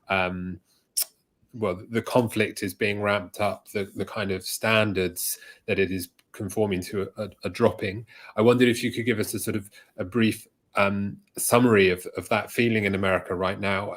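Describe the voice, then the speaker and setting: A man's voice: on the low side, a bit bright. A man moderating an online panel, asking polite, hesitant questions with fillers.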